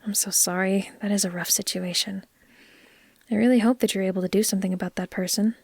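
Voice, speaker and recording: A woman playing a caring, gently teasing vampire in a soft, unhurried, comforting ASMR roleplay, sometimes slipping toward a whisper. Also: mid-range voice, fairly even intonation, creaky, slightly rough, no room sound, studio quality. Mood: sympathetic.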